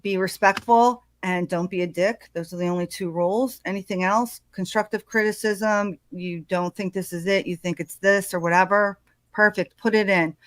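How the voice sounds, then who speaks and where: A woman's voice: mid-range voice, steady tone, moderate pace, dry recording. A woman doing casual true crime commentary in a hesitant way, with many ums and uhs.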